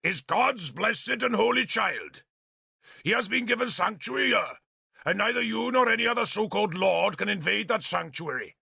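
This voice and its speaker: A man booming as a fanatical gravelly bishop. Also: expressive, normal speed, bright, forceful tone, no room sound, clean studio sound, heavily acted. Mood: wrathful. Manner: booming preacher's denunciations. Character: fanatical middle-aged male bishop, booming and wrathful.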